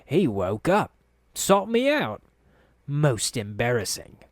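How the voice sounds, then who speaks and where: A narrator doing a quietly dangerous old crutch-leaning man. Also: medium pitch, sing-song, slow, no room sound, clean studio sound, voice pushed up. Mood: menacing. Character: menacing older man on a crutch.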